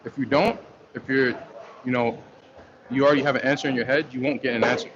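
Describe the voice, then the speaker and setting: A man's voice: medium pitch, steady tone, fast talker. A man hosting a casual livestream, rambling in a loose, slangy way as he answers viewer comments, full of fillers and restarts. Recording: dull lo-fi stream audio with faint noise.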